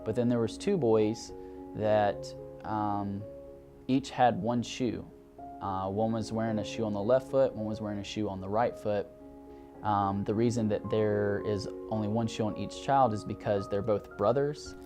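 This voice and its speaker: A young Southern man with a fairly low voice speaks in an earnest, reflective interview style, sprinkling his story with "like" and "you know". Clear music plays behind him. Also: fairly even intonation, a little slow. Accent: Southern American. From Alabama, United States. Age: young adult. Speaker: graduate counseling student.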